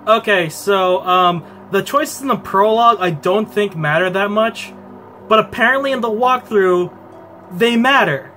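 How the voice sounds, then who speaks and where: A man's voice: sits high, measured pace, sharp tone, slight background noise. A man hosting a game playthrough, chatty and giggly, talking with his guests. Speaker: let's play host. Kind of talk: casual game commentary.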